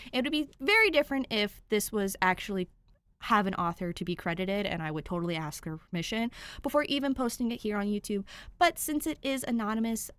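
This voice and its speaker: A woman's voice: medium pitch, a bit bright, smooth. A woman hosting a channel, talking casually and informally to listeners with emphatic stresses. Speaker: podfic reader and channel host.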